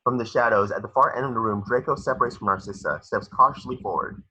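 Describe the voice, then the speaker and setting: A man narrating stage directions for a script table read, in measured, dramatic short phrases. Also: medium pitch, sharp tone, breathy edge, highs cut off.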